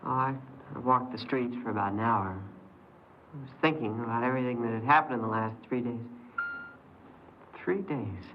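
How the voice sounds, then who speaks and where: An actor playing a frightened, remorseful fifteen-year-old runaway boy in a 1950s radio crime drama, speaking haltingly. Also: mid-range voice, narrow, tinny audio, noisy background, close to an everyday voice. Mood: subdued, halting. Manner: hesitant, halting, stammering.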